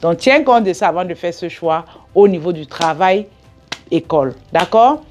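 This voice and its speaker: A woman's voice: on the low side, expressive, normal speed, a little mellow, faint music behind. A woman with an African French accent giving advice straight to viewers in an emphatic, instructive way, often asking rhetorical questions and checking in. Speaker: business coach and video host. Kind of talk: direct-to-audience advice vlog.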